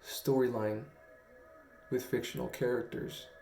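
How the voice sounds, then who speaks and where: Young male vlogger, mellow and fry-laden, halting reflective delivery, recorded in an echoey home room. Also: mid-range voice, little pitch movement. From Guam. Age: young adult. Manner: slow, halting, earnest, with long pauses. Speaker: storytelling vlogger. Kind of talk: reflective personal talk to camera. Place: small room at home, indoors. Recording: roomy echo, faint music.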